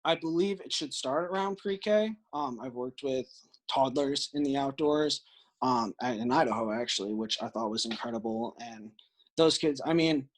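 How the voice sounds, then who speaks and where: A man's voice: on the high side, sing-song, moderate pace, vocal fry, low-quality recording. A man with an American accent giving earnest, explanatory answers as a podcast guest in a conversational interview. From Illinois, United States.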